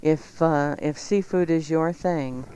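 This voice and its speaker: A woman's voice: low voice, slow pace, mellow, smooth, rough recording. A woman co-hosting a cooking show, presenting casually and chatting informally to viewers.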